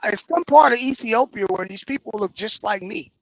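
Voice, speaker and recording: A man from East Oakland, chatting as a morning show co-host, animated and restarting his sentences. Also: sits high, moderate pace, creaky, rough recording. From East Oakland, California. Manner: animated, stammering restarts, agreeing often. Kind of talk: casual talk show chat.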